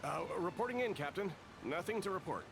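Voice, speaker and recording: An actor playing an uneasy, deferential soldier in an anime video game, hesitant and awkward. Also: male voice, sits high, animated, brisk, creaky, noisy background. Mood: nervous.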